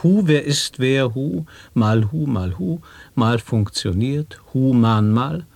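An actor in a radio play reciting nonsense word play in a deliberate, pondering way. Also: male voice, medium pitch, lively intonation, vocal fry, a little mellow, dry recording, full character voice. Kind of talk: reciting sound poetry.

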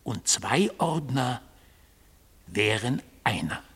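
An actor in a German radio drama playing a mystical god on trial, a fanatical defender of order who speaks solemnly and insistently, at times dropping to a hushed intensity. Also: male voice, mid-range voice, big pitch swings, takes it slowly, gravelly, breathy edge, full character voice. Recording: long hall-like reverb.

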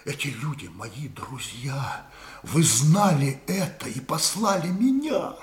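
An actor playing a proud, defiant old musketeer captain in a radio drama, impassioned, declamatory and bitter. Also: male voice, a little high, expressive, takes it slowly. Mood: grave, earnest. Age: older. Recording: echoey studio radio play.

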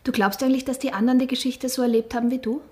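An actress playing a gentle, probing woman with a conversational delivery in a German radio drama. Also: high voice, steady tone, very fast, natural voice.

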